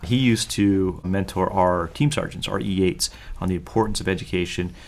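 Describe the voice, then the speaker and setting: A man's voice: low voice, moderate pace, gravelly. A man co-hosting a podcast, talking casually and earnestly with frequent fillers. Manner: talkative, earnest, many fillers. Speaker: podcast co-host.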